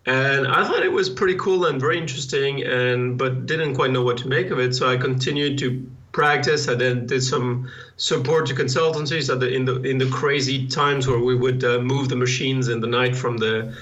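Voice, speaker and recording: Bright-voiced man on a dull line. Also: medium pitch, fairly even intonation. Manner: thoughtful, self-deprecating, halting. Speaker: guest, lean consultant. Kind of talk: interview answers.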